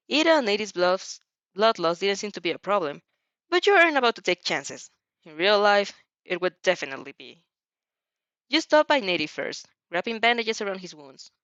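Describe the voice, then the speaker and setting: A woman giving an expressive, emotive reading of a story as an amateur narrator, now and then stumbling over lines. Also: medium pitch, no room sound, dull audio. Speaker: amateur fan fiction narrator.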